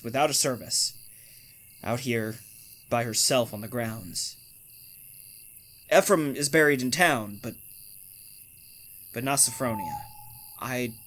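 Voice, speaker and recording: A man acts out a middle-aged husband in an old-time radio thriller. He is brooding and domineering, with a deliberate delivery at a middling pitch. An unhinged, haunted obsession runs under his words. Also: fairly bright tone, natural voice.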